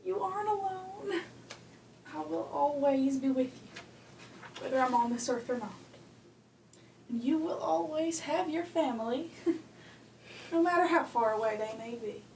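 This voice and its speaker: High-voiced, ailing but firm mother. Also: measured pace, creaky, long pauses, a little mellow. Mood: tender, comforting. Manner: tender yet stern, homespun. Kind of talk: holiday radio play acting. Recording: roomy, distant, faint background noise.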